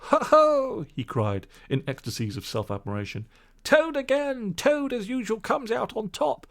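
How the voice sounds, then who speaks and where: A man's voice: sits high, sing-song, normal speed, creaky, voice pushed up, exaggerated voice. A man voicing a vain, reckless male toad in a storybook reading, with a pompous, boastful manner. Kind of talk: storybook audiobook acting.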